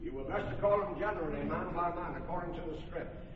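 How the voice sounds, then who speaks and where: An actor playing a boastful, pompous weaver in an old radio drama. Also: male voice, high-pitched, fast, lightly acted. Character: boastful weaver eager to lead the players. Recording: phone-like radio recording, distant and echoey, noisy.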